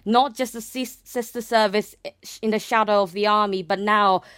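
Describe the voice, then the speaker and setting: A woman's voice: mid-range voice, steady tone, normal speed, fairly bright tone, smooth, dry recording, studio quality. A young woman from Japan talking conversationally as an academic guest, explaining things with hedged enthusiasm. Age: young adult. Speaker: academic guest, naval history researcher.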